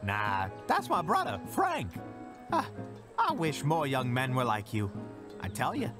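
A jolly older market vendor acted by a man talks slowly with extremely swooping pitch. He is folksy and effusive, with music behind him in an audio roleplay. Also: wildly swooping pitch. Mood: jovial.

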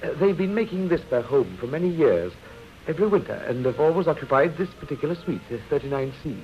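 A man's voice: a little high, animated, quick pace, lightly acted. A man acting a fussy, apologetic hotel manager in a 1930s radio drama, formal and hesitant. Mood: awkwardly polite. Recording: muffled vintage radio, music behind.

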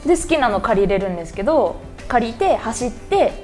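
Female co-host, young adult, slightly high lively voice, casual presenting style, faint music underneath. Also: a little fast. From Kansai, Japan. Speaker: co-host, runner. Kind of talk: casual chat, presenting topics. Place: small indoor room with wooden table. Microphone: lavalier mic. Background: faint background music.

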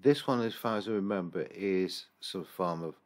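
A man's voice: low-pitched, normal speed, a little mellow. A man, a paranormal investigator, rambling with many fillers and restarts as he reviews security camera footage at his computer. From England. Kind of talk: casual screen-recorded review commentary.

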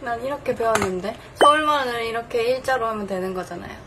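A woman's voice: a little high, fast talker, heavy background noise. A young woman in her twenties with a Busan accent, chatting casually as a beauty vlogger in her bedroom, laughing often. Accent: Busan Korean. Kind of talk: casual makeup chat. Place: bedroom, indoors.

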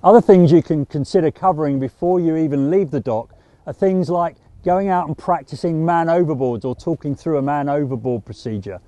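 Methodical sailing instructor, mellow voice. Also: male voice, a little high, fairly quick, no room sound. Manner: steady, practical and methodical, with small restarts. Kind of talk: instructional talk to camera.

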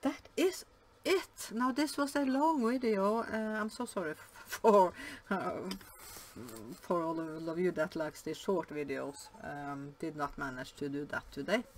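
Slow, hesitant woman with a Norwegian accent. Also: fairly low pitch, a little hiss or hum. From Norway. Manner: hesitant, many fillers and restarts. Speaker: yarn vlogger. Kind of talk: casual show-and-tell vlog. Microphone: camera above the table, hands only.

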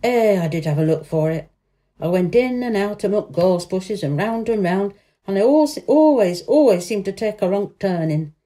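Woman doing a gentle yorkshire farm lad, friendly homely dialect, mellow storybook voice. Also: medium pitch, lively intonation, normal speed, close to an everyday voice. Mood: animated. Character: friendly Yorkshire country boy, gentle with animals.

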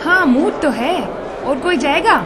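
A woman's voice: high-pitched, lively intonation, unhurried, a crowd in the background. A woman playing a sceptical young college student in casual radio drama slang. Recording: noisy, crowded classroom ambience behind.

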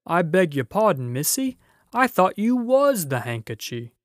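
Man voicing a loud, folksy, polite storybook giant. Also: high-pitched, sing-song, a little slow, no room sound, clean studio sound, pitched above the performer's own voice. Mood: flustered, apologetic. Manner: deferential, folksy, rustic speech. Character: big polite good-natured working-class giant. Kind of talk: children's storybook character voice.

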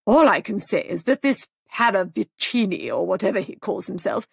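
A woman's voice: mid-range voice, sing-song, moderate pace, vocal fry, husky, no room sound, clean studio sound, heavily acted. Woman playing a haughty, suspicious older lady guest. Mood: haughty disapproval. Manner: imperious, snorting, suspicious.

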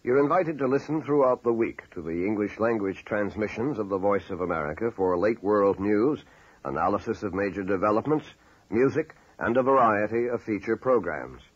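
A man's voice: lowish voice, lively intonation, normal speed, breathy edge. A man reading a scripted station announcement as a radio announcer. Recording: muffled archival shortwave radio recording.

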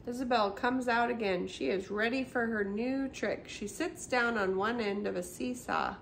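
A middle-aged woman hosting a storytime, reading a children's picture book aloud with expressive, simple storyteller phrasing for young children. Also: a little high, moderate pace. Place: small indoor room by a brick wall, seated. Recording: clearly noisy home recording.

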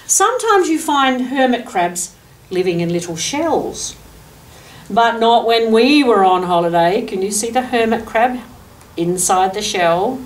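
An Australian woman in her sixties reads a picture book aloud to young children, adding asides, explanations and questions for them. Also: medium pitch. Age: 60s. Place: furnished office room indoors, seated. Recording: distant microphone, noticeable room background noise.

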